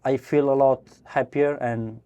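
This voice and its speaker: A man's voice: mid-range voice, fairly even intonation, measured pace, warm tone, highs cut off. A man from Romania, a company co-founder, giving casual interview answers in a brewery podcast studio, hesitant and full of restarts. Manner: hesitant, many fillers and restarts, laughs easily. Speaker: guest, startup co-founder.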